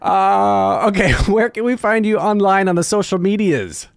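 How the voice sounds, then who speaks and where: A man's voice: high-pitched, moderate pace, creaky, smooth, dry recording, clean studio sound. A man hosting a podcast interview in an informal, enthusiastic, chatty way. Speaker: podcast host. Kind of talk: casual interview chat.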